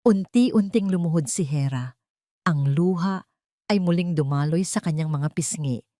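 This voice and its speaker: A woman's voice: lowish voice, measured pace, dark tone, dry recording, clean studio sound. A woman narrator reading a scripted story in a dramatic, suspenseful way.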